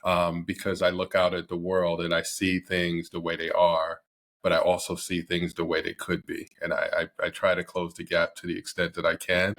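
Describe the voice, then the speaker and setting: Middle-aged man from Barbados, deep monotone, halting guest with lots of ums. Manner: hesitant, many fillers and restarts. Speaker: podcast guest, company CEO. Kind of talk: casual interview.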